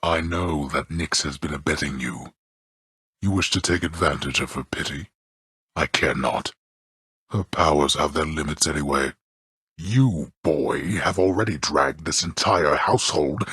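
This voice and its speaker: An actor playing a haughty male god of the underworld who scolds his son in a deep, clipped, contemptuous voice. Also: fairly bright tone, breathy edge, no echo, studio quality, full character voice. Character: imperious male god of the underworld, cold and disdainful. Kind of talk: game character voice lines.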